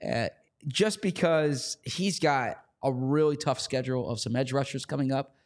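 A man co-hosting a sports podcast, talking casually in a slightly hoarse voice and often repeating words as he starts a thought. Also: medium pitch, moderate pace. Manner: chatty, repeats words when starting sentences. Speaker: podcast co-host.

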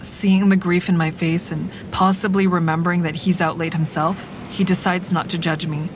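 Over clear rumbling traffic noise, an actress plays a hard-edged woman narrating her own drama. Her voice is on the low side, and her delivery is wry, frank and deliberate, in clipped sentences. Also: flat delivery, natural voice. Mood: pained restraint. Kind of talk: scripted first-person dramatic narration.

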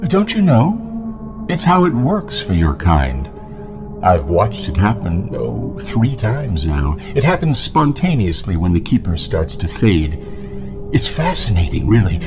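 A man's voice: deep voice, full character voice. A man playing a leering, creepy old elder. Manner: insinuating, slow and leering. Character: creepy older male town elder, menacing.

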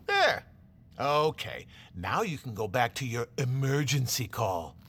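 An actor playing a nagging, sarcastic office colleague in an audio drama, with a needling manner. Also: male voice, medium pitch, big pitch swings, a little slow, fairly bright tone, slight background noise.

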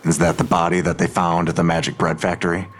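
A man playing a curt, guarded character with a husky voice in an audio drama, gruffly dismissive. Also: low-pitched, flat delivery, fast talker, a little hiss or hum, lightly acted. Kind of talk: full-cast audio drama acting.

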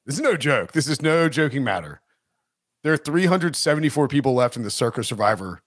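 A man's voice: medium pitch, expressive, brisk, no echo, clean studio sound. A man hosting a sports podcast in casual chat, rambling and interrupting himself, laughing often. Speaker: podcast host.

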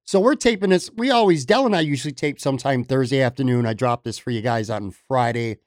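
A man's voice: medium pitch, brisk, no room sound, clean studio sound. A man turning fifty, hosting a podcast in a chatty, rambling, friendly way, calling his guest "man" and "brother". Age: 50s. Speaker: podcast host. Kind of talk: casual chat.

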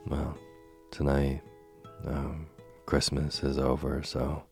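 A man hosting a sleep podcast and reading a bedtime story softly, slowly and soothingly, while he is losing his voice. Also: extremely low pitch, monotone, gravelly. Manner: slow, gentle and soothing. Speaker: sleep podcast host and reader. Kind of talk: soft bedtime story narration. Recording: faint music behind.

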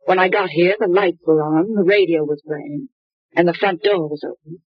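A woman's voice: on the low side, moderate pace, breathy edge, no room sound. A worried young woman. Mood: anxious. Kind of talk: 1950s radio drama acting. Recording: dull old radio recording.

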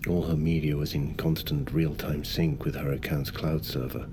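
An actor playing a wealthy middle-aged magnate, speaking formally in a measured, halting way. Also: male voice, a bass voice, fairly even intonation. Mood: assured, explanatory. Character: wealthy, controlled, grave male business magnate. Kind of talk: naturalistic audio drama acting.

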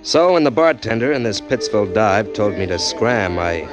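A man's voice: fairly low pitch, very animated, moderate pace, music in the background. An actor playing a down-on-his-luck male drifter who narrates in a hard-boiled style in a 1940s radio drama. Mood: weary.

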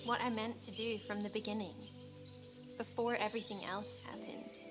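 A woman's voice: mid-range voice, steady tone, normal speed, music in the background, natural voice. A woman playing a wistful but resolute young woman in a naturalistic audio drama. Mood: wistful, quiet.

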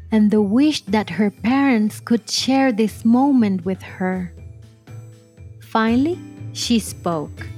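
A woman narrating a scripted biographical story for children in a measured, expressive way. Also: fairly high pitch. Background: music bed.

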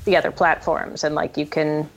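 A woman's voice: deep voice, flat delivery, moderate pace, creaky. A woman speaking as a guest screenwriter in a casual interview, thinking aloud with frequent restarts. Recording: dull, lo-fi audio.

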